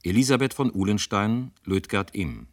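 A man's voice: on the low side, lively intonation, moderate pace, dry recording, studio quality. A man reading the closing credits of a radio drama as a formal, scripted announcement.

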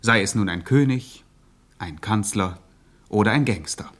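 Male narrator, fairly low pitch, dry, pompous, ironic scripted radio commentary. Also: unhurried. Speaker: second narrator.

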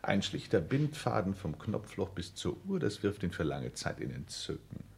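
A low-voiced, haughty, animated count. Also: male voice, moderate pace, creaky, full character voice. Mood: sardonic, haughty. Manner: haughty, drawling, sardonic. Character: languid, snobbish aristocratic count, flippant and condescending. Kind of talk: 1960s German radio play acting.

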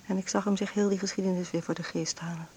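An actress playing a frail, ailing bedridden mother in an intimate radio drama monologue, breathy and often near a whisper, with halting short phrases. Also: medium pitch, steady tone, dark tone, slight background noise, lightly acted. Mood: weary, reflective. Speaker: actress narrating in a radio play.

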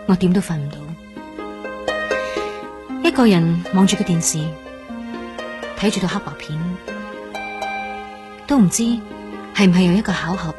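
Actress as a low-voiced, melancholy young woman. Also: close to an everyday voice. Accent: Hong Kong. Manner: soft, wistful, measured. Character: melancholy, lovelorn young woman confiding inner thoughts. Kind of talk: radio drama monologue.

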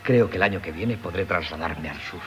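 An actor playing an earnest, polite and sickly young would-be sculptor in a Spanish radio play, with a Castilian accent and hesitant delivery. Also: male voice, deep voice, fairly quick, a little hiss or hum, natural voice. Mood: earnest, chatty. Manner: polite, hesitant, deferential storyteller. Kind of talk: 1970s Spanish radio theatre acting. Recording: 1970s radio drama with music.

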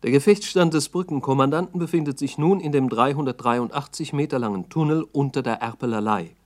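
A man's voice: mid-range voice, fairly quick. A man narrating a radio documentary drama in a factual, report-like manner. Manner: factual, report-like present tense.

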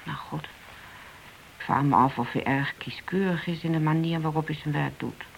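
Concerned spouse at home: higher pitch, frank, probing; hissy radio play. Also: male voice, moderate pace, vocal fry, low-quality recording. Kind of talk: Dutch radio drama acting.

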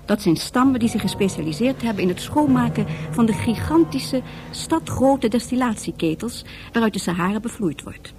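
A woman's voice: fairly high pitch, expressive, normal speed, music in the background. A woman narrating a scripted science fiction radio drama.

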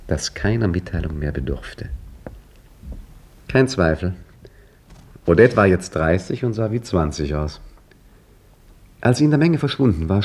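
A caretaker recounting his wanderings in a vintage radio play, his voice very low and husky. He tells the story in a measured, quietly wry way. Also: full character voice. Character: Hakim, middle-aged male embassy caretaker narrating. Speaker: narrator and lead character. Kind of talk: 1950s German radio drama acting.

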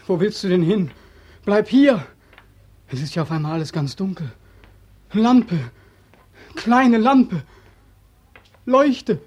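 An actor playing a tormented, despairing soldier home from war in a 1940s radio drama, anguished and halting. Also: male voice, sits high, lively intonation, husky, no echo, heavily acted. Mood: bewildered pleading. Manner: anguished, halting, swinging from hush to outcry. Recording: old 1940s radio recording.

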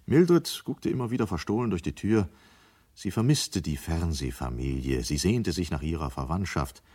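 A man's voice: low voice, animated, normal speed, a little mellow, husky. A man narrating a scripted story as the storyteller of a 1970 German radio drama.

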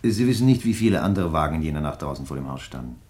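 A brooding man crime writer in a radio play, insistent and suspicious. His pitch moves widely as he probes, pointed and deliberate. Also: sing-song, dark tone. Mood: brooding, bitter. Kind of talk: German radio drama dialogue.

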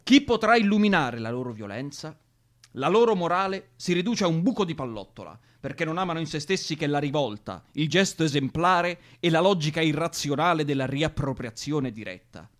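An actor playing an irritable, bossy young militant with a Roman accent, arguing and swearing in a naturalistic radio drama. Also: male voice, a little high, animated, fairly quick, natural voice. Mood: indignant. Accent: Roman Italian. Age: young adult. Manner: foul-mouthed, argumentative.

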